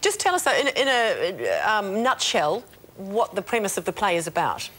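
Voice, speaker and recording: A woman's voice: mid-range voice, very animated, normal speed, fairly bright tone. A woman with a New Zealand accent presenting and chatting as a morning television host.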